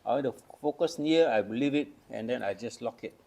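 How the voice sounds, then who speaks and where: Older man from Malaysia, gravelly, methodical show-and-tell explainer. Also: medium pitch, brisk, darkish tone. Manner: patient, explains step by step with hands-on demos. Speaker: camera collector. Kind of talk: casual show-and-tell demonstration.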